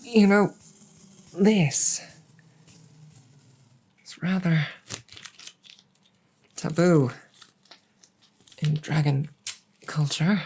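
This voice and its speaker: A woman playing a proud but bashful dragon girlfriend in an intimate audio roleplay, with formal wording, a breathy voice and halting, sighing delivery. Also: low-pitched, a little hiss or hum, exaggerated voice. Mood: musing. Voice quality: husky, breathy. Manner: formal wording, hesitant, halting, soft sighs.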